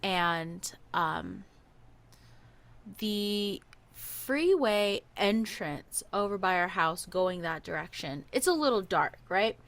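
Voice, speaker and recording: Young woman, unhurried delivery, lively storytelling, laughs often, casual podcast co-host. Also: fairly low pitch. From Hawaii.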